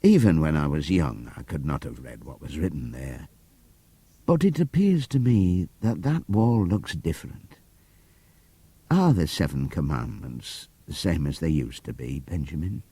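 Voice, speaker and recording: A man voicing a gentle, ageing female horse in an audiobook, speaking in a hesitant way. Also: a bass voice, very animated, a little slow, slightly rough, no room sound, exaggerated voice. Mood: weary, puzzled.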